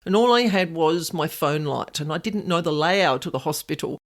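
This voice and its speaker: A middle-aged woman hosting a podcast, telling stories in a loud, extravagant, easily sidetracked way. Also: low-pitched, normal speed. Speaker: podcast host, paranormal investigator. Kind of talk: casual storytelling podcast.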